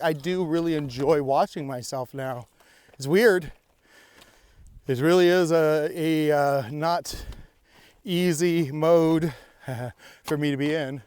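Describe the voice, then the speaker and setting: Chatty man with a smooth, higher voice. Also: darkish tone. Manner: chatty, rambling, laughs often. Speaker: podcast co-host. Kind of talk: casual chat on a hike. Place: outdoor mountain hiking trail.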